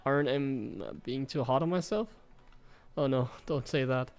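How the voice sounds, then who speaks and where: A man's voice: medium pitch, moderate pace. A young German man with a German accent, German being his first language, streaming and chatting frankly about his own gameplay while criticizing himself in a rambling way.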